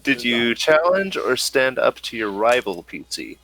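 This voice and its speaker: Bright-voiced man running a tabletop game. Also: mid-range voice, sing-song, measured pace, no room sound, poor audio. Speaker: game master. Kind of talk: running end-of-session tabletop game recap.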